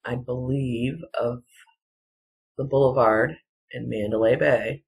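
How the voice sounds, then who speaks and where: Slow, halting solo commentator. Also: medium pitch, fairly even intonation, creaky, poor audio. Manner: halting, broken phrases with insistent repetition. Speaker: video commentator. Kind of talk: rambling solo commentary, casual talk.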